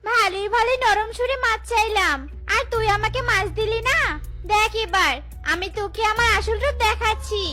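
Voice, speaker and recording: A woman voicing a greedy, menacing cartoon ghost with a shrill voice, demanding and threatening. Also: steady tone, moderate pace, over music. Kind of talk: cartoon voice acting.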